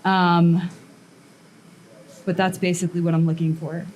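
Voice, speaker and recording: A woman's voice: on the low side, flat delivery, measured pace, a little mellow, low-quality recording. A woman blacksmith and sculptor giving a live workshop demonstration to an audience, talking casually and thinking aloud with fillers and restarts. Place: metal workshop at a smithing event.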